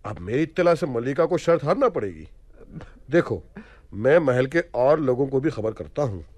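An actor playing a gossipy, solemn palace servant in a radio play. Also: male voice, medium pitch, very animated, normal speed, darkish tone, no echo. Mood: solemn, busybody.

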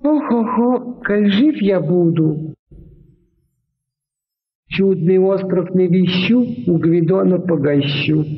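A man's voice: sits high, takes it slowly, pauses often, husky, big-room sound, heavily acted. A man playing a grand, curious, good-natured tsar in theatrical 1940s cartoon verse. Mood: wistful. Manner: theatrical, declamatory. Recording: old tinny, narrow film soundtrack.

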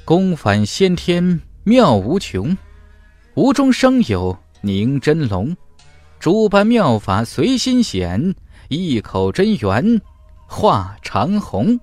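Guy doing a cocky young warrior hero from a fantasy audiobook, haughty, big swings in pitch, faint music. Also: wildly swooping pitch, slow, a little mellow, no room sound, full character voice. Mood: exultant. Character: triumphant, proud young male cultivation hero, coldly confident. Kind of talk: fantasy audiobook character acting.

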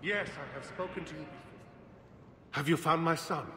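Man playing grave male game ambassador, echoey, noisy ambience, very slow, halting, formal, bereaved. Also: fairly high pitch, lightly acted. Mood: anxious. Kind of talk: video game dialogue.

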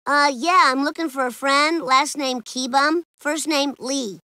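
A woman voicing a mischievous ten-year-old boy prank caller with a slightly scratchy child's voice in an animated sitcom. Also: sits high, a little slow. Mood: sly politeness.

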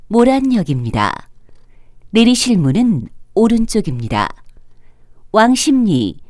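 A woman announcer with a fairly high, lively-pitched voice delivers formal transit announcements. She enunciates politely and carefully, in a very dry recording. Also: no room sound. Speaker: subway train announcer. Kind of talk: scripted automated transit announcement.

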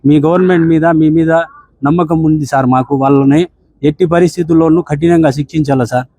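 A man's voice: fairly high pitch, fairly even intonation, fast talker, mellow, no room sound. A man with a Rayalaseema Telugu accent giving a respectful, deferential account on the phone, often addressing the listener as sir. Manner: deferential, ends sentences with "sir". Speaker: bereaved father on a phone call.

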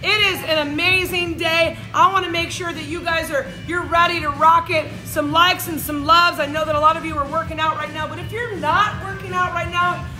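A woman's voice: very high-pitched, fairly even intonation, fairly quick, few pauses. A woman in her thirties leading a live workout class as a fitness coach, bubbly and encouraging, cheering and counting down the intervals. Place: large gym with hard walls. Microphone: camera mic a few steps away. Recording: echoey gym with music behind. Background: workout music.

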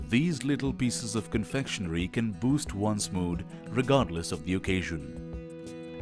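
Low-pitched male promo narrator. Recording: music bed behind voice. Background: music with plucked guitar.

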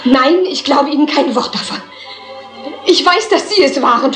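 High-voiced vengeful young woman. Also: normal speed, a bit bright. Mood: accusing, grieving. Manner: intense, accusing. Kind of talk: German-dubbed crime film acting. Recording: echoey, music behind voice.

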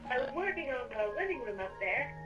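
A high-pitched woman chats casually during a game roleplay. She sounds set back from the microphone, with music clearly audible behind her. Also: very animated, a little fast, highs cut off. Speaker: player in a Minecraft roleplay. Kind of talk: casual gaming chat. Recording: distant voice chat with clear music.

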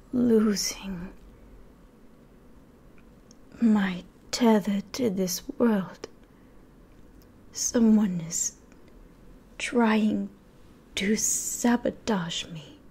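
A young woman playing a menacing, commanding female demon in a breathy, hushed ASMR roleplay whisper. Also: mid-range voice, heavily acted. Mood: weakening, alarmed. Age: young adult. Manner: slow, deliberate, imperious. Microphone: close microphone, facing camera.

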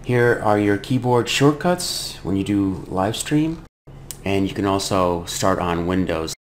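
Middle-aged man, low voice, tutorial narration, close mic, background noise. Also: expressive, unhurried. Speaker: tutorial host. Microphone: close desktop microphone.

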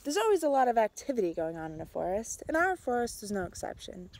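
A young adult woman reading a picture book aloud outdoors, with a measured, expressive storytelling delivery. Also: a little high. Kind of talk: storybook read-aloud, narrated as a tree. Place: outdoors in snowy winter woods.

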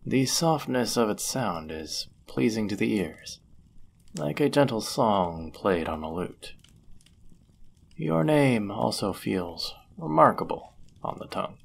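A man's voice: lowish voice, gravelly, slight background noise, exaggerated voice. A man playing a flirtatious, courteous medieval fantasy innkeeper in a roleplay audio drama, with formal, ornate, teasing phrasing. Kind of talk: scripted roleplay audio drama, addressing the listener.

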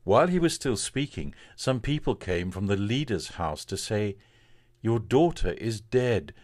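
A man, a priest, reading from the Bible in a solemn, measured and deliberate way. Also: on the low side, sing-song, no room sound.

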